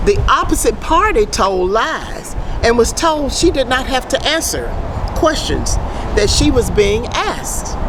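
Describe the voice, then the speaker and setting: A middle-aged woman reads a prepared statement aloud in a deliberate, formal, emphatic way. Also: a little high, noisy background. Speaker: private citizen giving a public statement.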